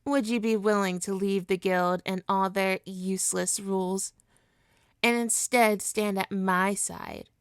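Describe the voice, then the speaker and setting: Slow, silky female supervillain played by a woman. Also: medium pitch, steady tone, clear, resonant tone, no room sound, studio quality, close to an everyday voice. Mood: seductive, coaxing. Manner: soothing, deliberate, coaxing, mock-polite. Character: suave female supervillain hypnotist, coaxing and possessive. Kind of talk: ASMR roleplay, scripted hypnosis monologue.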